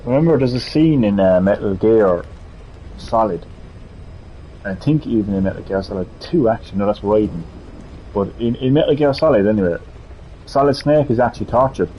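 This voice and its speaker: A man's voice: lowish voice, normal speed, highs cut off, a little hiss or hum. A man giving casual gameplay commentary in a rambling, musing way as a gaming host.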